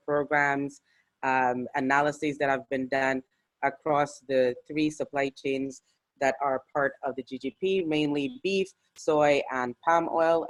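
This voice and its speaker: This is a presenter leading an online workshop over a video call. The delivery is measured and structured, at an ordinary middle pitch, with occasional fillers between points. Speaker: webinar presenter.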